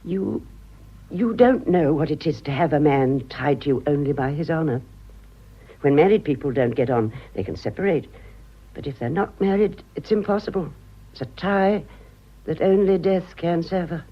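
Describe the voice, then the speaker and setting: An older woman in a radio drama, worldly and rueful. Her voice is husky and breathy as she pleads with dramatic, halting feeling. The recording is dull and muffled. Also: low-pitched. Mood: bitter, earnest. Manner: emotional, hesitant, dramatic.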